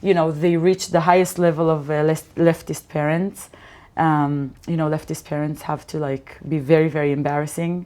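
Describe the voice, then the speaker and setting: A woman from Israel, a guest comedian, telling lively stories in a casual interview and acting out quoted lines. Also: low-pitched, fast talker. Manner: animated storyteller, acts out quotes.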